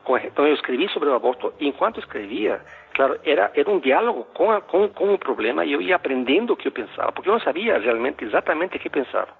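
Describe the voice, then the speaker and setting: A middle-aged man from Ecuador with a Spanish accent, a philosophy professor telling a story in a casual, halting way with many restarts and fillers. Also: a little high, sing-song, vocal fry, breathy edge. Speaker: philosophy professor, podcast guest. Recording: phone-like narrow sound.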